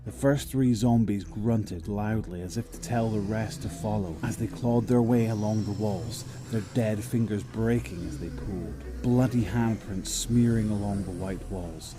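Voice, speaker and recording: A man acting as the narrator of a zombie horror audio drama, telling it in a measured, descriptive way. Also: lowish voice, lightly acted, rough recording. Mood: grim, suspenseful. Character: male narrator of a grim zombie horror tale. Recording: loud music under the voice. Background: music.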